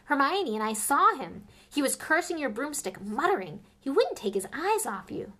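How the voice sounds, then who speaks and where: A woman's voice: high-pitched, sing-song, moderate pace, pushed and bright, pitched above the performer's own voice, full character voice. Woman doing an urgent young schoolboy.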